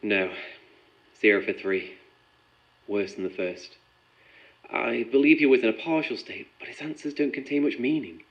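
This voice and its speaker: A man's voice: medium pitch, normal speed, pauses often, natural voice. An actor playing a composed, methodical male researcher in an audio drama, speaking in a clinical, probing, precise way. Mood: businesslike, dissatisfied. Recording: muffled sound with music behind.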